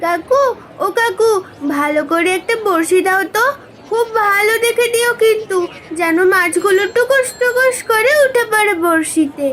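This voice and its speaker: A woman's voice: very high voice, normal speed, a bit bright. A woman voicing a stubborn little village girl in a cartoon story, whining and insisting like a child. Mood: excited. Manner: whiny, insistent, childlike pleading.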